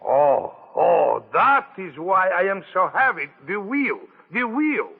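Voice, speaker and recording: An anxious heavy foreigner in a cast, broken halting english, higher voice, old tinny radio play. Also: male voice, very animated, creaky, breathy edge, exaggerated voice. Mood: pleading. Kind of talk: 1940s radio acting.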